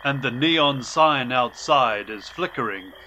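A man's voice: mid-range voice, animated, takes it slowly, bright, forceful tone, muffled recording, slight background noise. A man announcing an audio drama with dramatic scripted intro narration.

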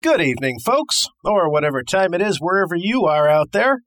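An actor playing a sarcastic, easily exasperated radio call-in host in a radio play. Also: male voice, sits high, very animated, fairly quick, fairly bright tone, no echo, soft background music. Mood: slick, dismissive. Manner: wisecracking, theatrical. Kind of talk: radio play acting as talk show host.

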